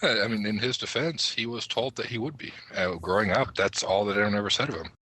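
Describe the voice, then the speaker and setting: Male panelist, low rough-edged voice, laid-back wording, casual chat. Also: fast, pushed and bright, no room sound, poor audio. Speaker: podcast panelist.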